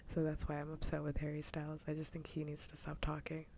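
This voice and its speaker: A woman streamer chatting casually in a soft, breathy ASMR whisper close to the microphone, with a wry, conversational way of griping. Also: deep voice, monotone, quick pace, a little hiss or hum. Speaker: streamer hosting a live ASMR show.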